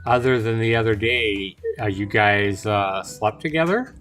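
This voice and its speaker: A man's voice: on the low side, unhurried, fairly bright tone, faint music behind. Man reading as a playful, airy young woman. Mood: chatty. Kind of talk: visual novel character read aloud.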